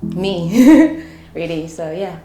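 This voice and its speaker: A woman artist from Nigeria talking about identity in an interview, soft and searching with many pauses. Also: fairly low pitch, lively intonation, echoey room. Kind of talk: reflective interview.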